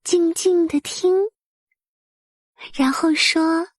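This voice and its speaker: A woman narrating a bedtime story for small children in a soft, breathy voice, with gentle, soothing and careful phrasing. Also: very high-pitched, steady tone, very slow, dry recording, studio quality.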